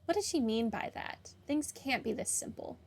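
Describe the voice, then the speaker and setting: A young woman hosts a game playthrough. Her voice sits a little high, and she comments in a casual, conversational way. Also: lively intonation, normal speed, vocal fry, a little mellow, slight background noise. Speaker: gaming video host.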